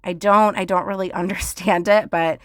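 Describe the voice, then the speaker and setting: A woman with a hoarse, crackly, groggy voice, chatting casually and rambling a bit as she narrates her home vlog. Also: medium pitch, fairly even intonation, normal speed, a bit bright, no echo, studio quality. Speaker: home vlogger. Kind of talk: casual voice-over chat.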